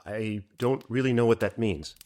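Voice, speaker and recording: A man's voice: on the low side, moderate pace, warm tone, natural voice. A man playing an earnest, innocent nineteen-year-old merchant sailor in an audio drama, polite and openly trusting.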